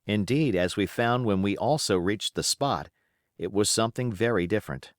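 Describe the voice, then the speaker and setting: A man's voice: on the low side, lively intonation, moderate pace, no echo, clean studio sound. A man reading a classic novel aloud as scripted audiobook narration.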